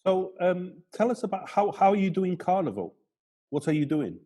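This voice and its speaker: Man, interviewer, video call, higher pitched, lively, husky. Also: moderate pace. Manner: brief prompting questions. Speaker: interviewer, host. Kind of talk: casual interview questions.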